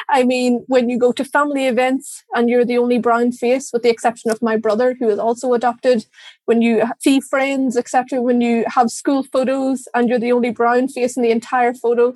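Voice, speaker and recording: A woman's voice: on the high side, darkish tone, no echo. Irish woman, candid interview guest. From Ireland. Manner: reflective, candid, with light laughs. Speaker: guest, diversity and inclusion director. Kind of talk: interview answers.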